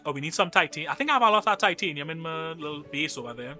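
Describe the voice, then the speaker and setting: A man's voice: on the high side, animated, racing, pushed and bright, soft background music. A young man with a Trinidadian accent chatting casually on a live game stream, joking and reading chat messages aloud. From Trinidad and Tobago.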